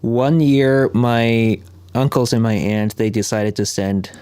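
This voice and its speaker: A man from South Korea whose first language is Korean, a podcast guest telling his story in a relaxed, conversational way with plenty of filler sounds and restarts. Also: mid-range voice, slow pace, clean tone, no echo. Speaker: podcast guest, ministry worker.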